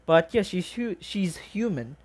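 A reaction video host chatting casually and excitedly in a rambling, exclaiming, informal way. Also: medium pitch, measured pace, breathy edge.

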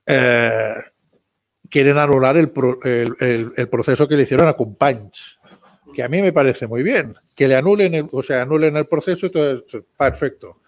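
A man's voice: mid-range voice, normal speed. An emphatic man in his fifties from Barcelona, talking about his own history book. He speaks into a table microphone in a library hall and often repeats words as he presses a point. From Barcelona, Spain. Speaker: book author. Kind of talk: book presentation talk.

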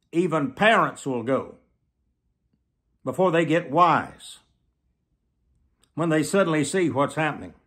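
An elderly man preaching to the camera in an emphatic, deliberate manner, often repeating phrases for weight. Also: fairly high pitch, a bit bright. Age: 70s. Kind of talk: sermon to camera. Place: small plain room indoors.

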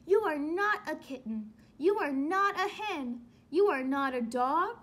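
A woman voicing an eager, anxious little male baby bird from a storybook, with drawn-out, exclaiming words and plaintive calls. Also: sits high, lively intonation, a bit bright. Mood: sure, proud.

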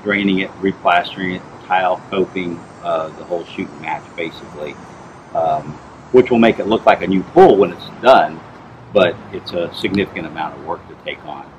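A middle-aged man, a pool inspector, explains repairs in a plain, measured way with frequent fillers, outdoors by a backyard pool. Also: low-pitched, a little hiss or hum, rough recording. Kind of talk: on-site explanation to camera.

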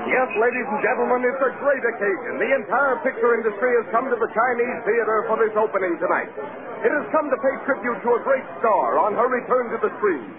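Dramatic announcer, animated, tinny radio. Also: male voice, very high-pitched, fast, a loud crowd. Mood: excited. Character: earnest, dramatic male radio announcer at a premiere. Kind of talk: 1940s live radio commentary, acted. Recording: tinny, very noisy old radio. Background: loud noise.

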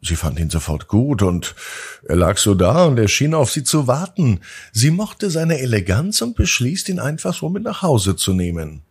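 A man hosting a children's bedtime podcast, narrating in a gentle, drawn-out storytelling manner. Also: mid-range voice, very animated, husky.

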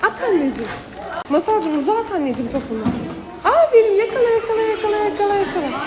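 A woman's voice: very high-pitched, sing-song, fast talker, very noisy. A woman, a mother filming her daughter, cheerfully coaxing her on in a large indoor play hall. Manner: cheerful, coaxing, repetitive urging. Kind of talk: casual encouragement.

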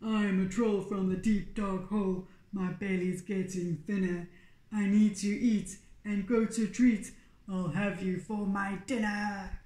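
A woman voicing a big hairy, hungry male bridge troll in a storybook, roaring and chanting drawn-out threats. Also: medium pitch, steady tone, roomy sound, pitched below the performer's own voice, rough recording. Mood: menacing.

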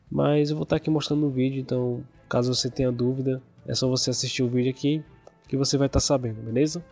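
A hoarse young man with a cold, casually talking through gameplay as a gaming youtuber. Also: medium pitch. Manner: casual, relaxed chatty narration. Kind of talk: gameplay commentary. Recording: voice over game music.

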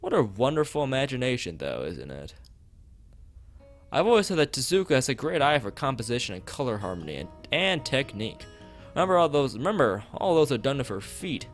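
A man voicing an opinionated, flattering gallery owner in a visual novel, gushing and full of rhetorical questions. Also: mid-range voice, brisk, close to an everyday voice. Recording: music behind the voice.

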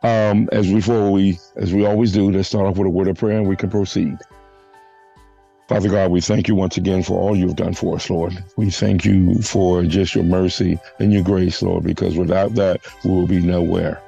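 A man hosting a church podcast, hoarse from a flu, making announcements and leading a prayer with halting restarts. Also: sits low, level tone, mellow, dull audio, soft background music. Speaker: podcast host. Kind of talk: casual church podcast chat.

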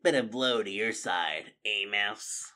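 A man's voice: lowish voice, takes it slowly, bright, forceful tone. A man voicing a bullying, scheming rat warlord in a storybook, commanding and threatening. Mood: gloating.

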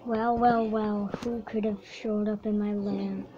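A woman's voice: medium pitch, level tone, measured pace, crowd noise. A woman reading out character voice lines in a menacing role-play manner, on an amateur recording. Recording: noisy, muffled amateur recording.

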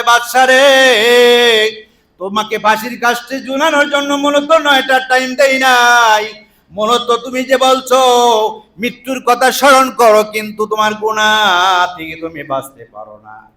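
A man preaching a story sermon, swinging between dramatic drawn-out calls and pleading phrases. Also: high-pitched, bright, forceful tone. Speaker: Islamic preacher. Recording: echoing PA-style sound.

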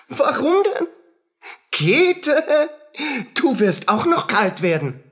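An actor playing a tormented, feverish soldier unraveling in guilt in a radio play, husky and agitated, in fragments. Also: male voice, very high-pitched, big pitch swings, very slow, a bit bright, full character voice. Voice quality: husky, rough. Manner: agitated, fragmented, muttering and crying out.

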